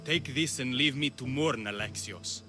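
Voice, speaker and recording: An actor playing a gentle, lovelorn middle-aged Greek philosopher in a video game, speaking in a reflective, hesitant way. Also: male voice, mid-range voice, moderate pace, natural voice. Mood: grieving, resigned. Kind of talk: video game dialogue acting. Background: game music.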